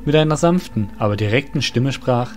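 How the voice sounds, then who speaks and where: A man's voice: mid-range voice, expressive, fairly quick, darkish tone, soft background music. A man narrating a scripted fantasy audio drama.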